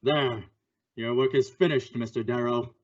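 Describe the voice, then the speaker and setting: Commanding male crime-fighter as acted by a man, mid-pitched, dramatic and resolute, classic radio serial delivery. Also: steady tone, unhurried, dull audio, lightly acted. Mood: stern. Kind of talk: 1940s radio drama acting.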